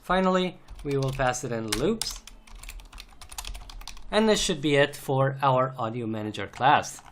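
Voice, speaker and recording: A man's voice: mid-range voice, expressive, a little slow, fairly bright tone. A man giving an unscripted screencast programming tutorial, explaining methodically step by step as he types. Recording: noisy, keyboard clicks and typing. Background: computer keyboard typing and clicks.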